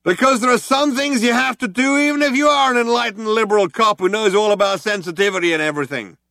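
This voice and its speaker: A man voicing a self-righteous male alien cop who shouts through a loud hailer and lectures while he threatens. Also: high-pitched, quick pace, no echo, studio quality, voice pushed up. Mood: pompous shouting.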